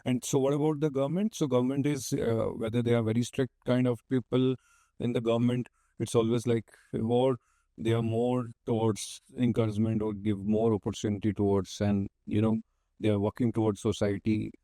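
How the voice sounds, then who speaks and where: A man's voice: mid-range voice, flat delivery, fairly quick, mellow, no echo. A man hosting an interview, asking long, winding questions and agreeably repeating his guest's answers. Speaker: podcast host, interviewer.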